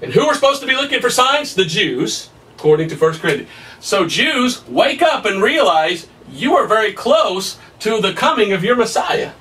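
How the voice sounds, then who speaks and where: A man in his thirties preaching a Bible study at a whiteboard, emphatic and excitable, his voice somewhat hoarse. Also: high-pitched, moderate pace, recorded from a distance, low-quality recording. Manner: emphatic, rising to excited peaks, laughs at his own points. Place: small room in front of a whiteboard. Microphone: camera at a distance.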